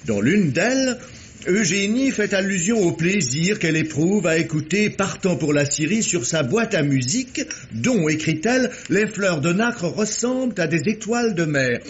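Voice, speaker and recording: An actor playing a pedantic, fussy, apologetic scholar who stammers a little, in a vintage French radio mystery. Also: male voice, sits high, very animated, moderate pace. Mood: absorbed, lecturing. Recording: dull, slightly noisy vintage radio.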